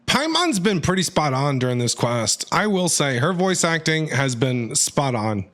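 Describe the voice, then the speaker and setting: Casting: male streamer, casual and reactive, lively melodic delivery, thinks aloud over gameplay. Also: a little high, a little slow. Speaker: game streamer. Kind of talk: casual live commentary on gameplay.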